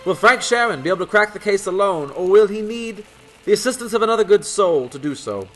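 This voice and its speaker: A man's voice: sits high, expressive, brisk, a bit bright, soft background music, rough recording. A man playing an ominous, theatrical announcer of an old-time radio serial. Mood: ominous, grand. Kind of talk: 1940s radio narration.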